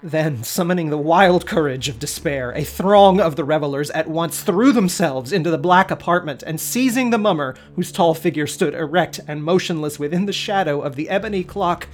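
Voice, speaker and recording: A man hosting a podcast, giving a dramatic, expressive reading of a story. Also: a little high, moderate pace, few pauses. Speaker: podcast host.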